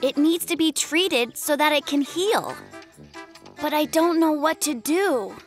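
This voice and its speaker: Caring lil cartoon bear, really high voice, slowish, expressive, earnest n a bit stubborn. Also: faint music behind. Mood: worried, hurt. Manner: earnest, plaintive, scolding. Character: earnest, caring young brown bear, nature-loving, stubborn. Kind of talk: children's cartoon dub acting.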